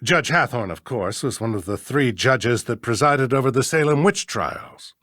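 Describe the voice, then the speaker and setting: An actor playing a pompous, grumbling older small-town judge with an American accent in a video game, in a blustery, theatrical manner. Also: male voice, mid-range voice, lively intonation, moderate pace, a bit bright, no echo, clean studio sound. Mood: solemn, explanatory. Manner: blustery, theatrical, throat-clearing, self-important.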